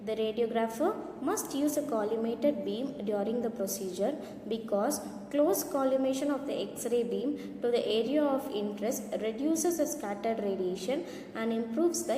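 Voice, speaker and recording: A woman's voice: a little high, warm tone. A woman lecturer in radiography, reading a lecture carefully from notes with small slips. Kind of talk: read-out lecture. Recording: echoey room with long reverb, faint noise.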